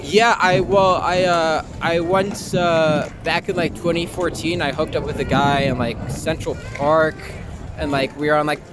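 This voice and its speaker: A young American man talking casually and candidly as an interview guest, halting and informal, leaning on "like" and the odd swear word. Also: a little high, steady tone. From Long Island, New York, US. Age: young adult.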